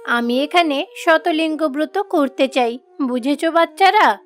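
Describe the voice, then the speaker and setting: A gentle mother goddess of a cartoon myth played by a woman, with a very high voice. She sounds soft, motherly and sorrowful, as one who suffers patiently. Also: measured pace. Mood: gentle, explaining.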